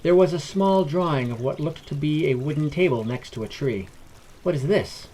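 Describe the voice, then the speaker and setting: A man's voice: a little high, fairly quick, dark tone, slight background noise. A man reading scripted fantasy narration as a storyteller.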